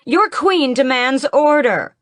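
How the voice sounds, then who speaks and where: A woman's voice: medium pitch, very animated, slow pace, no echo, studio quality, voice pushed up, heavily acted. A woman voicing a haughty, ageless vampire queen who speaks in cold, mocking commands. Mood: commanding.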